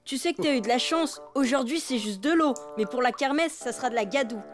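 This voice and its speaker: A woman acts as a cocky young cartoon boy. The high voice teases and boasts, with clearly heard music underneath. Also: brisk, close to an everyday voice. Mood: mocking. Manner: boastful, mocking. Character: cocky, teasing elephant boy. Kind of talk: French children's cartoon voice acting.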